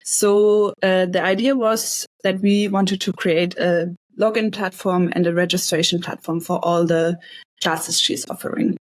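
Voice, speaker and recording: A young woman from Hamburg, a bootcamp graduate, explaining her project in a relaxed, chatty way. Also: on the low side, a little slow, no room sound. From Hamburg, Germany. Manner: relaxed, chatty, light laughs. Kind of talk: casual project presentation.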